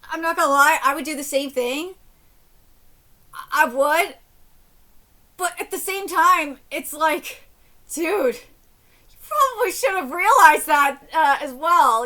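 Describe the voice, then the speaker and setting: A woman in her thirties hosting a live reaction to a comic, loud, exclamatory and swearing. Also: high-pitched. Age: 30s.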